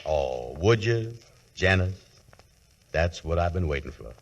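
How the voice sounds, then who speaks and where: Deep-voiced, slow and bashful lovestruck working fellow, folksy and hesitant, old-time radio comedy. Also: male voice, animated, muffled recording, full character voice. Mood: bashful, smitten. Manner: folksy, hesitant, nonstandard grammar. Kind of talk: 1940s radio comedy acting. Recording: vintage 1940s radio broadcast recording.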